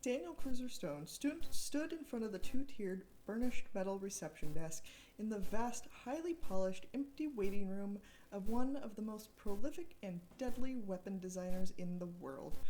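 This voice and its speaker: A woman's voice: mid-range voice, big pitch swings, moderate pace, mellow, rough recording. A woman author giving a dramatic narrated reading of her thriller novel. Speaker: author reading own book.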